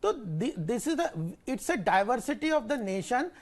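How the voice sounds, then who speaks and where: A man arguing in a TV debate, insistent and halting with many fillers. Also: very high voice, big pitch swings. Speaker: ruling party spokesperson. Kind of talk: TV debate argument.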